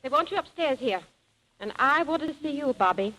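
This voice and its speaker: Shrill, very high bossy fiancée. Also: female voice, animated, moderate pace, bright, forceful tone. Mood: bossy, defiant. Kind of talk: 1930s radio comedy acting. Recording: hissy, noisy 1930s radio broadcast.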